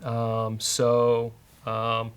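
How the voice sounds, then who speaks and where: Man live-coding aloud, mid-pitched voice. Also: monotone, drawn-out words, clear, resonant tone. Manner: thinking aloud, wry, hesitant. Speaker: host, programming instructor. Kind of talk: casual live-coding walkthrough.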